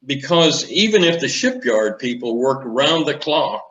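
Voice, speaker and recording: A man in his eighties reminiscing in an interview, speaking in a measured, deliberate way. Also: mid-range voice, sing-song, fairly bright tone, lo-fi sound. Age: 80s. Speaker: interview guest, retired naval commanding officer. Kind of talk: conversational reminiscence. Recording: echoey room sound.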